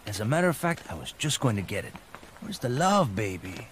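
Roguish game adventurer played by a man: swaggering, teasing, very lively intonation, clear background noise. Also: medium pitch, fairly quick, lightly acted. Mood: teasing, flirty. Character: cocky, flirtatious roguish male adventurer. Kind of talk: video game cutscene acting.

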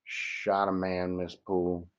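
A man's voice: on the low side, measured pace, dull audio, pitched above the performer's own voice, close to an everyday voice. A man doing a scared, stammering Southern fugitive. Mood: frightened. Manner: shaky pleading stammer. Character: big frightened fugitive man, begging, whimpering.

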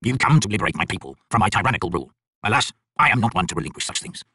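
A man voicing an arrogant, bitter tyrant High King with a raspy, theatrical, scornful delivery in an audiobook. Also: big pitch swings, rapid-fire, bright, forceful tone, dry recording, studio quality, heavily acted. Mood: scornful, taunting.

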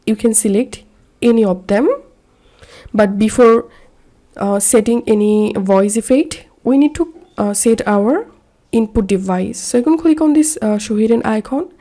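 A woman narrating a software tutorial step by step in a plain, instructional manner. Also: on the high side.

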